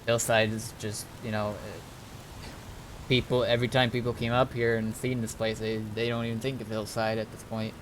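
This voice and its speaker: A man talking casually on a game stream, thinking things through aloud in a halting, deliberating way. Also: fairly low pitch, level tone, noisy background. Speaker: streamer and roleplay gamer.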